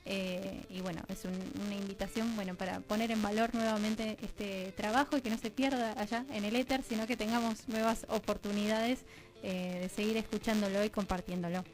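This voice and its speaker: A woman's voice: mid-range voice, fairly even intonation, fairly quick, poor audio. A woman with an Argentine Rioplatense accent speaking as a radio interview guest, explaining conversationally in long, chained sentences as she thinks aloud. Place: radio studio.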